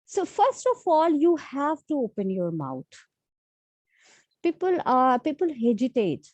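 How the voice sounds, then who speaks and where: High-voiced Indian woman teaching casually. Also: wildly swooping pitch, unhurried, darkish tone, dry recording. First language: Hindi and Urdu. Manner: unscripted, many fillers and restarts. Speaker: channel host giving tips. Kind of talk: informal talk-to-camera lesson.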